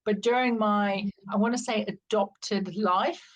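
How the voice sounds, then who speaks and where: A woman's voice: medium pitch, steady tone, a little slow. A middle-aged woman with a South African accent, candidly and reflectively telling her life story as an interview guest. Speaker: podcast guest.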